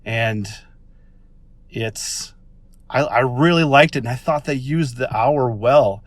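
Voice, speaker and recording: A man's voice: mid-range voice, takes it slowly. An American man leaving a casual voice message, talking in an enthusiastic, informal and rambling way. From Minnesota, United States.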